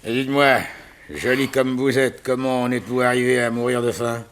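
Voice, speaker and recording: An actor playing a kind, jovial, protective gentleman in a 1960s French radio serial, talking familiarly and solicitously with many interjections. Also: mid-range voice, level tone, brisk, natural voice.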